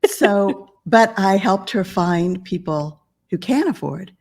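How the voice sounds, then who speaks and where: A woman in her fifties, a business coach and author, speaking calmly and in a measured way as a podcast guest. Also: medium pitch. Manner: calm, measured. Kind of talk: podcast interview conversation.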